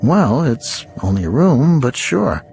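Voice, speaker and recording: An actor playing an affable, slightly awkward company psychologist in a radio drama, with a hesitant, placating manner. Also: male voice, medium pitch, sing-song, slow, vocal fry, darkish tone, soft background music, full character voice. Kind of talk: naturalistic radio drama acting.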